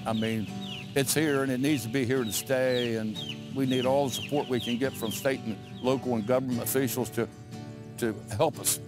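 A man's voice: mid-range voice, steady tone, moderate pace. Folksy male farmer over prominent music. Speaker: poultry farmer. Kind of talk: plain-spoken interview soundbite.

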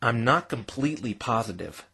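A man's voice: medium pitch, expressive, moderate pace, creaky, crowd noise. A man playing an easily flustered, exasperated young lawyer in a cartoon, with a dry, stammering manner. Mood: thoughtful, dry.

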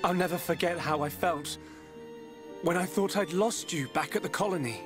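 A man's voice: high voice, moderate pace, natural voice. A young man playing an earnest, protective swordsman hero in a video game, speaking emotively. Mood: earnest resolve. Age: young adult. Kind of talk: video game cutscene voice acting. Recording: game soundtrack music behind.

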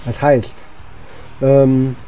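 A man's voice: medium pitch, little pitch movement, drawn-out words, dull audio. A man gives casual, rambling video game commentary, thinking aloud as he plays. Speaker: gaming channel host. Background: game music and sound effects.

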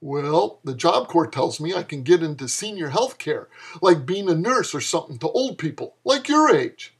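A man's voice: fairly high pitch, very animated, moderate pace, breathy edge, heavily acted. A man voicing a clueless, easily awed young slacker in a dramatic story reading, with dopey, drawling slang. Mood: dopey awe. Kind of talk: dramatic reading of flash fiction.

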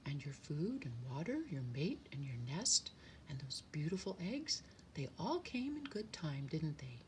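Woman voicing a gentle, cooing turtle dove. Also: sits low, sing-song, measured pace, a little hiss or hum. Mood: reassuring. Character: gentle, kindly motherly turtle dove, calm and wise. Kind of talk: children's storybook character voice.

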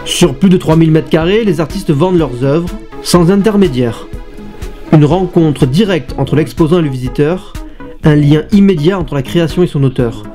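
A man reading a scripted narration for a report in an even, smooth delivery. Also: a little high, normal speed. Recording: music bed behind the voice.

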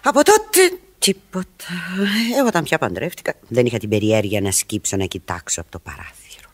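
A woman's voice: rumbling low, wildly swooping pitch, heavily acted. An actress playing a flirtatious, proud married society woman in Greek radio theatre, coy, teasing and theatrical. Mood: coy, amused.

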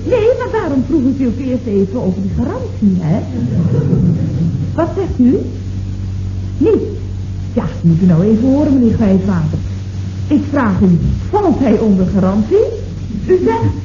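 An actress playing a chatty, scatterbrained young secretary in a breezy, exclamatory 1970s Dutch radio comedy style. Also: female voice, a little high, sing-song, unhurried, long pauses. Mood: indignant. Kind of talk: Dutch radio comedy acting, circa 1970. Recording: muffled, noisy, echoey old radio recording.

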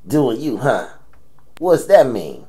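A man's voice: medium pitch, big pitch swings, slow, mellow. A man playing a blunt, teasing old American grandfather in an audio drama, salty and slangy as he scolds. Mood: scolding.

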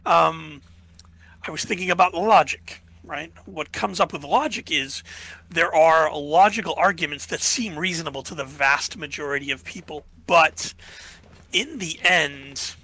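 A man's voice: a little high, measured pace, sharp tone, rough recording. A man co-hosting a podcast, musing through philosophy in casual chat, checking in with "right?" and losing his voice. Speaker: podcast co-host.

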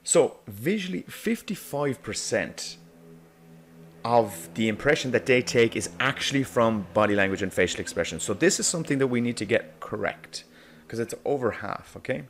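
A man teaching a negotiation course in a chatty, loose way, checking in with "okay?" and filling pauses with "um". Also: medium pitch, expressive, a little hiss or hum. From Ireland. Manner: chatty, frequent "okay?" and "um" fillers, laughs lightly mid-sentence. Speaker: negotiation coach. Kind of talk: casual spoken course narration. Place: voice-over for an animated whiteboard video.

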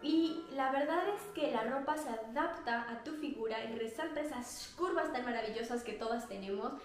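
A woman's voice: on the high side, moderate pace, soft background music. A young woman with a Mexican Spanish accent, chatting casually and gesturing as she reviews activewear for her vlog. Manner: bubbly, chatty, gestures a lot, laughs often. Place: small indoor room at home. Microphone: clip-on lapel mic. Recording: very echoey small room.

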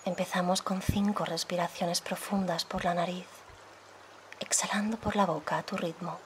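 Low-voiced woman guiding a meditation. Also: steady tone, slight background noise. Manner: soft, soothing, unhurried delivery with pauses. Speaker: meditation and yoga guide. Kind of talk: guided meditation, slow calm instruction.

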